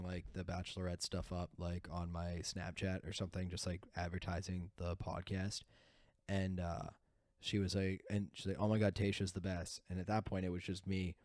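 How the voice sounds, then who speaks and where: A man's voice: low voice, a little mellow, no echo, clean studio sound. A man in his twenties co-hosting a podcast, rambling and self-deprecating with many restarts. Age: 20s. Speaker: podcast co-host. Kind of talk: casual banter.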